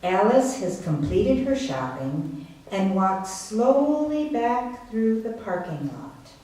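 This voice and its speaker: A woman's voice: medium pitch, very animated, slow, mellow. A woman narrator reading scripted dramatic narration for a readers theater piece. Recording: very echoey room, distant mic, faint noise.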